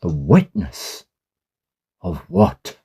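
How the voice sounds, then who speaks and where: A man playing a sceptical, concerned learned gentleman in a Victorian horror drama, with a measured, reasoning, formal manner. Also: deep voice, huge pitch swings, slightly rough, full character voice. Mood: puzzled, concerned.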